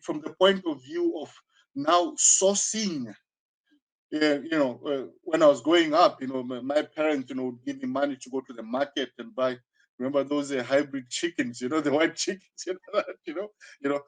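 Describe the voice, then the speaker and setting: Male interview guest, 60, southern African accent, voice a little high, halting conversational delivery full of repetitions. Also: low-quality recording. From Zambia, born in South Africa. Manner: halting, searching delivery with frequent repetitions and 'you know'. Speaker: interview guest, karate teacher.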